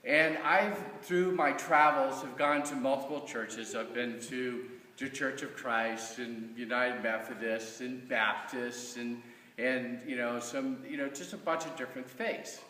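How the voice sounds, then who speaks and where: A middle-aged man with an American accent gives an informal, earnest personal testimony to a congregation in a halting, conversational way. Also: mid-range voice, pushed and bright, slight background noise. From Kansas, United States. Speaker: church member giving a stewardship talk. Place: large reverberant room, speaking from off the mic. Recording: echoey church hall, distant mic.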